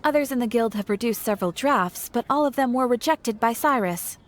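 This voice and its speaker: A woman's voice: on the high side, animated, a little fast, close to an everyday voice. A woman voicing a courteous, formal guild receptionist in a video game, polite and helpful. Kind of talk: scripted video game character acting.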